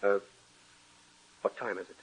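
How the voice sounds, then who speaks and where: An actor playing a sleepless, haunted husband, curt and agitated, in 1940s radio acting style. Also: male voice, a little slow, natural voice. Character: haunted man, tense, paranoid, sleepless. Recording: tinny old radio recording.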